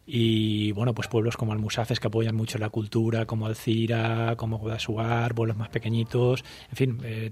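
Male poet guest from the Valencia region, flat even delivery, thoughtful, pauses a lot. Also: mid-range voice. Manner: measured, thoughtful, frequent hesitations. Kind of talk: radio interview answers.

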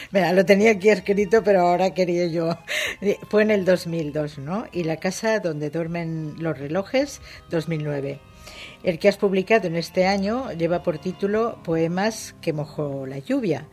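A radio interviewer speaks at a middling pitch and asks questions courteously, with an inquisitive tone. Faint music sits under the voice. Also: measured pace, darkish tone.

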